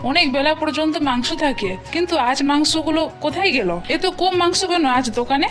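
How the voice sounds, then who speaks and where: Woman voicing an exasperated, high-pitched scolding mother. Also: fast, a bit bright. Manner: scolding, complaining. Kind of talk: animated moral-tale cartoon dialogue. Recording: music behind the voice.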